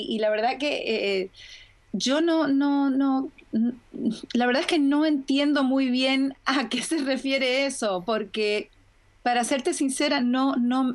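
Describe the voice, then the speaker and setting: A middle-aged woman speaking with a neutral Latin American accent in a slightly raised voice. She answers candidly and restarts her phrases as she talks. She is heard over a video call from home. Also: sits high. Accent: neutral Latin American Spanish. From Argentine and Puerto Rican roots, raised in Puerto Rico. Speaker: bilingual voice-over artist, guest. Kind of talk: casual interview answers.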